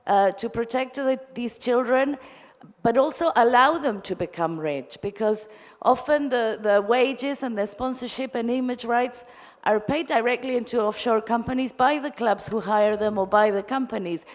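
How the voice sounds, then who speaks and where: A woman's voice: on the high side, moderate pace. A woman from Argentina speaks from the audience at a conference, putting an articulate, discursive question to a panel. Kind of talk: spontaneous question and comment at a conference. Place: large indoor conference hall. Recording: echoey hall, long reverb.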